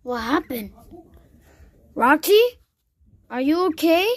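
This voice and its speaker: A high-voiced woman acts out a homemade horror skit with toys. She narrates and speaks for the characters herself, exclaiming in a dramatic, childlike way. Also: animated, slow, no echo. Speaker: narrator and puppeteer of a plush-toy horror skit. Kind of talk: improvised toy role-play.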